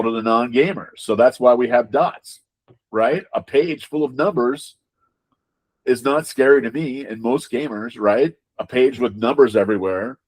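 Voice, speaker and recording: A man's voice: mid-range voice, poor audio. A man giving conversational interview answers as a game designer, emphatic, repeating words and often checking in with rhetorical questions.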